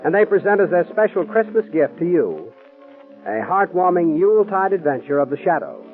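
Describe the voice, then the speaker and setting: A man's voice: sits high, sing-song, a little fast. A man announcing a 1940s radio drama and reading its sponsor's ad in a polished, formal way. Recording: tinny old radio broadcast with faint music.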